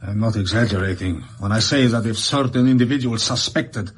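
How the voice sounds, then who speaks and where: An actor playing a proud, anxious European monarch in a radio drama, speaking in a grave, formal, melodramatic manner. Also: male voice, on the low side, brisk, slight background noise, close to an everyday voice. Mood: distressed, ashamed.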